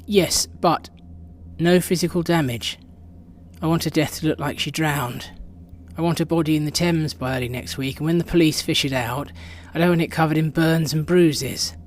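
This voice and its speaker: A man's voice: a little high, moderate pace, slight background noise, close to an everyday voice. A man acting a ruthless intelligence chief in a radio drama, clipped and commanding. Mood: cold, commanding. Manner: clipped, authoritative.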